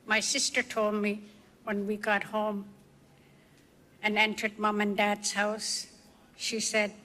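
An older woman from the Canadian Arctic, a guest speaker giving personal testimony in slow, measured storytelling. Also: medium pitch, monotone, bright, forceful tone, big-room sound.

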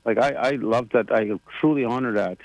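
A man's voice: medium pitch, normal speed, no room sound, low-quality recording. A man in his sixties chatting casually on the radio, rambling with many fillers and restarts. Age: 60s. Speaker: president of a government, radio guest.

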